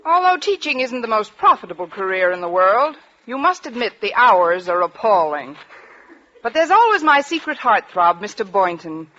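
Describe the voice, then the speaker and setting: An actress playing a wry, underpaid high school English teacher in a 1940s radio comedy, with dry, wisecracking delivery. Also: female voice, sing-song, normal speed, bright, forceful tone, soft background music. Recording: dull vintage 1940s radio recording.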